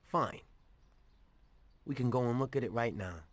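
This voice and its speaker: A man's voice: medium pitch, a little slow, lightly acted. A man playing a reckless 1930s gangster in a video game, blunt and informal in the way he talks. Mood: insistent. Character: brash male 1930s gangster, reckless bank-robbing buddy. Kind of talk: video game cutscene acting.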